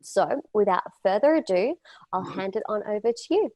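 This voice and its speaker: A woman host on a video call, reading a prepared introduction at a steady pace. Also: medium pitch, normal speed, no echo. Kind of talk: scripted introduction.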